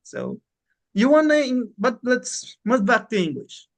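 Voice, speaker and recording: A man's voice: very high-pitched, sing-song, unhurried, vocal fry. Encouraging male English teacher. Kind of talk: informal online language lesson. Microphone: online class call.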